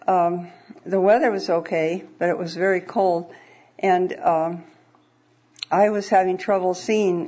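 A woman in a church congregation tells her testimony in an earnest, plain, storytelling way, with a slightly low voice. She pauses now and then with small fillers. The sound is dull and lo-fi. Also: darkish tone. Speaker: congregation member giving a testimony. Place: church service.

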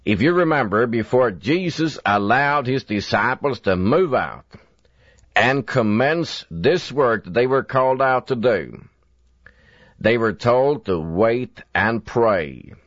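Older preacher, slow and earnest, kinda muffled dry old tape sound. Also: male voice, medium pitch, lively intonation, fairly bright tone, no echo. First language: Swedish. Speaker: evangelist preacher. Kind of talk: recorded sermon. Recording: dull lo-fi dry recording.

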